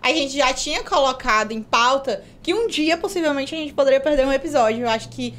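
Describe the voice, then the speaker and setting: High-voiced woman podcast co-host. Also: lively intonation, a little fast, fairly bright tone, a little hiss or hum. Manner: chatty, prompting questions. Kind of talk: casual podcast chat.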